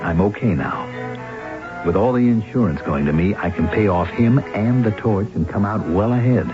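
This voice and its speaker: An actor playing a scheming, defensive, demanding husband, a villain in a 1970s radio drama. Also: male voice, fairly low pitch, moderate pace, lightly acted. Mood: smug. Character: scheming, edgy male husband, a villainous conspirator. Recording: dull vintage radio broadcast with music.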